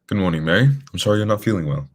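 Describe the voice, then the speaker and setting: A man's voice: low voice, rapid-fire. A man playing a shrewd, composed detective in a radio drama, speaking formally and matter-of-factly. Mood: composed, purposeful.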